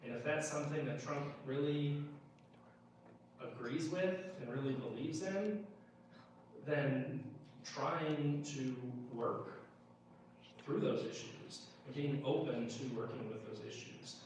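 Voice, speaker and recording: A man speaking informally as a political candidate at a town hall, explaining things in a measured, conversational way, recorded in an echoey room at a distance. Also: fairly high pitch, darkish tone. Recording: echoey room, distant mic, faint noise.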